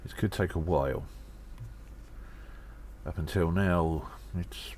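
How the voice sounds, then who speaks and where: A man playing a self-important, cheeky gnome undercover agent, delivering a deliberate and theatrical audio drama monologue. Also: low voice, a little mellow, slight background noise. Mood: grave, concerned.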